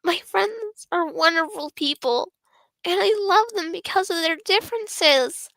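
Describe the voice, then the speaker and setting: Woman voicing a high, pleading, sweet peacemaker schoolgirl. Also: a little slow, gravelly, fairly bright tone, dry recording, exaggerated voice. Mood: earnest, gushing.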